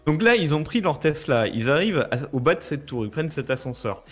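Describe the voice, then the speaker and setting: A man's voice: fairly high pitch, sing-song, a little fast. A man explaining things informally in casual chat, using slangy wording.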